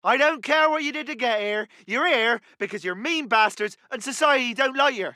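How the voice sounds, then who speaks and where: A man's voice: very high-pitched, moderate pace, bright, forceful tone, no echo, studio quality. A man playing a mean, foul-mouthed Allied commando in a war game, blunt and coarse. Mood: harsh, scornful. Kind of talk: video game unit voice lines.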